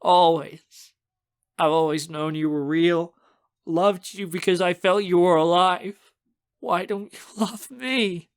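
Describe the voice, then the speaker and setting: High, sobbing, heartbroken young soul. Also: fairly even intonation, slow pace, smooth, dry recording, clean studio sound. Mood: anguished pleading. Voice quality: high, choked-up, strained voice. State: crying. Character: distraught, heartbroken young protagonist pleading through sobs.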